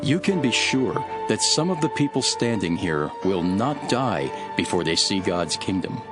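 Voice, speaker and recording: An actor playing a calm, grave, authoritative male teacher in a Bible audio drama, speaking in a measured way. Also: lowish voice, music in the background. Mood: solemn, earnest. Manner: measured, solemn.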